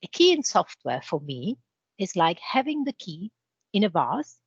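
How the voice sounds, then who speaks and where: A woman with an Italian accent, a guest in a business interview, explaining with homely comparisons in a measured way. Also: lowish voice, big pitch swings, breathy edge, dry recording, lo-fi sound. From Italy. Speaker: interview guest, channel manager.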